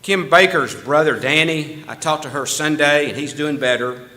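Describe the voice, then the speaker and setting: A man's voice: fairly high pitch, normal speed, bright, forceful tone. A man giving casual church announcements in a folksy, chatty way, laughing easily and restarting his sentences. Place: church meeting room.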